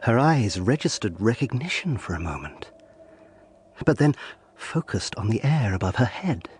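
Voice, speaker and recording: An actor playing an earnest young eighteenth-century lawyer, narrating his tale gravely and intensely in an audio drama. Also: medium pitch, sing-song, normal speed, darkish tone, slight background noise, natural voice. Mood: awed, grave.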